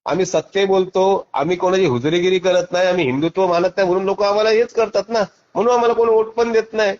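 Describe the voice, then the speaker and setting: A man's voice: high voice, fairly even intonation, quick pace, darkish tone. A man arguing bluntly and insistently in a heated phone call. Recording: dull lo-fi phone call recording.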